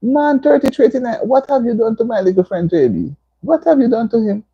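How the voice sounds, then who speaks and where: A woman's voice: fairly high pitch, animated, brisk, slightly rough, muffled recording. A woman hosting a casual livestream from home, laughing and teasing as she reads viewer comments aloud. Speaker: livestream host. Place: indoors at home.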